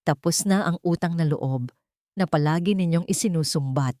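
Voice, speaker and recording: A woman narrator voicing a wronged young woman who speaks with calm, weighty, bitter accusation. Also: sits low, normal speed, no room sound, studio quality, lightly acted. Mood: cold resolve.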